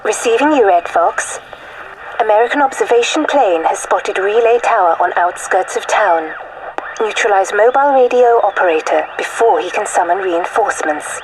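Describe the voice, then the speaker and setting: A woman voicing a brisk, commanding military radio operator in a war game, giving clipped official orders over the radio. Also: mid-range voice. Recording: noisy, crackly field radio transmission.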